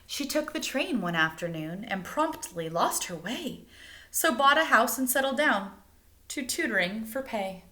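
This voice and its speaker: A woman reading a picture book aloud like a teacher, expressively, pausing to explain things and put questions to young listeners. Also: fairly high pitch, lively intonation, bright, forceful tone. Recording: roomy, echoing indoor sound.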